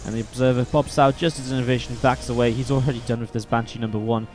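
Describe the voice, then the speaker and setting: A man commenting play by play on a strategy video game match, a little sniffly from a cold, steady and then more excited in the fights. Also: mid-range voice, quick pace. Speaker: esports caster.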